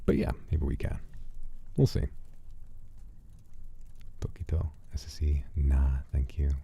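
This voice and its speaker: A man hosting a livestream, chatting casually and reflectively in a soft-spoken, sincere, unhurried way that often drops to a breathy murmur. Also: very deep voice, fairly even intonation. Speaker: livestream host.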